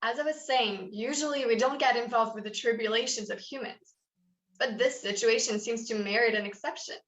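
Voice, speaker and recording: An actress playing an authoritative female animal president in a staged reading, speaking formally and deliberately. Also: a little high, a bit bright, roomy sound, dull audio, lightly acted. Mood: stern, official.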